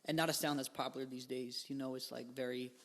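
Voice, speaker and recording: A man's voice: medium pitch, level tone, fast talker, fairly bright tone, a little hiss or hum. A young man in his twenties, a musician guest on a podcast, talking casually in a rambling way with lots of fillers and slang. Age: 20s. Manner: rambling, filler-heavy, laid-back slang.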